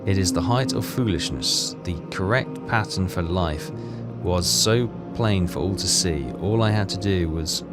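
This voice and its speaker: A man doing casual game commentary and reading text aloud, haltingly, muttering his thoughts as he goes. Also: deep voice. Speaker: video game walkthrough player. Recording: voice under loud game music. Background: loud game music.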